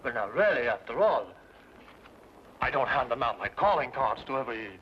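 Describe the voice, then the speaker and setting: An actor playing a fussy, flustered, indignant English gentleman in an old-time radio drama, with a British accent and a prim, sputtering delivery. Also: mid-range voice, very animated, moderate pace, natural voice. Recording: dull, noisy old radio recording.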